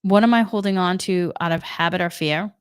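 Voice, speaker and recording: A middle-aged woman with a Boston accent gives gentle, reflective spiritual guidance, often repeating her questions. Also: lowish voice, fairly quick, clear, resonant tone, no echo, studio quality. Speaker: spiritual energy guide, Reiki practitioner.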